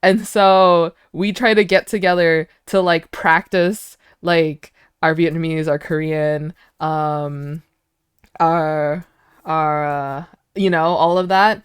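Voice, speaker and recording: This is a young woman with an American accent, chatting with her viewers in a smooth, clear voice. She giggles a lot and often stops to restart a sentence. The sound is dry and close to the mic. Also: low-pitched, steady tone, takes it slowly, no echo. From United States. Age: young adult. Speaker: streamer. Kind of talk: casual chat with viewers.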